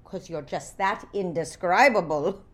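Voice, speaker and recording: An actress as a prickly older singing legend. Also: female voice, fairly low pitch, unhurried, fairly bright tone. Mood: begrudging, snappish. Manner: flustered, snappish, begrudging. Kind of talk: comedy audio drama acting.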